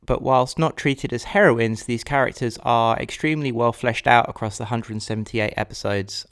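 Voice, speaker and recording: A man's voice: medium pitch, gravelly. A man, hosting a podcast with scripted personal narration. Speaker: podcast host.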